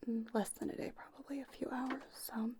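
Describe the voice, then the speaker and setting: A woman whispering softly and breathily in a gentle, unhurried ASMR roleplay, reassuring as she gives instructions like a spa owner. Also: high voice, fairly even intonation.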